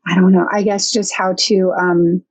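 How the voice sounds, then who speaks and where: Brief: young adult woman, guest counselor, halting and thoughtful, dry mic. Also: lowish voice, flat delivery, no room sound. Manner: thoughtful, hesitant with many fillers. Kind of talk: casual podcast interview.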